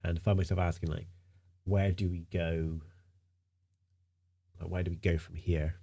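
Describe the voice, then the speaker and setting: A man's voice: low-pitched, level tone, moderate pace, creaky, dark tone. A man streaming live in casual chat, sounding nasal from a stinking cold, rambling with lots of fillers and repeated words.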